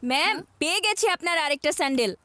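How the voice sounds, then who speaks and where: High-voiced scheming cartoon boy. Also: expressive, quick pace, background noise. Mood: cunning. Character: scheming, anxious boy, mischievous older brother. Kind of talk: cartoon dub acting. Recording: music behind the voice.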